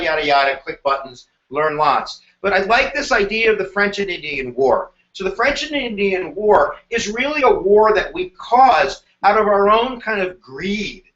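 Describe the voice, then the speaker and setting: A man's voice: high-pitched, pushed and bright. A middle-aged man teaching a history review on a live stream, playful and slangy. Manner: playful and slangy, jumping into goofy voices. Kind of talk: energetic live-stream lesson. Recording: dull echoey room sound.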